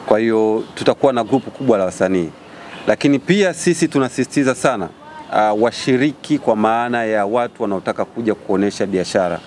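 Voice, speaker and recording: A Tanzanian man with a fairly low voice addresses a press conference as an organiser. There is faint background noise with a hum of vehicles behind him. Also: quick pace, a little mellow. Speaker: event organiser.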